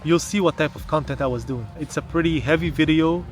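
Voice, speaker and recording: A man's voice: fairly high pitch, a little fast, soft background music. A man from Canada talking to the camera in a casual explanatory vlog, earnest and emphatic, often posing rhetorical questions. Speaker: vlogger, history content creator.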